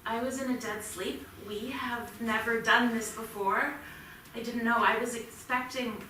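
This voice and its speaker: A woman's voice: fairly high pitch, steady tone, unhurried, bright, forceful tone. An actress playing a protective, indignant mother in a staged play reading, flustered and defensive. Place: theatre stage, indoors. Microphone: distant room microphone. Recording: very echoey theatre, distant mic, noisy.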